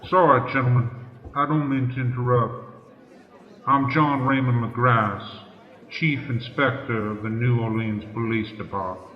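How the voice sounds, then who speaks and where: A man's voice: mid-range voice, fairly even intonation, unhurried, lightly acted. A man playing an earnest, authoritative police chief inspector, formal and polite, in old-time radio drama style. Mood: urgent formal address. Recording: echoey hall, muffled, crowd murmur.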